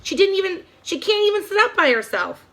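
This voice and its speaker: A woman's voice: extremely high pitch, sing-song, fairly quick, fairly bright tone, pitched above the performer's own voice, heavily acted. A woman voicing a petulant, accusing schoolgirl in a storybook, whiny and indignant.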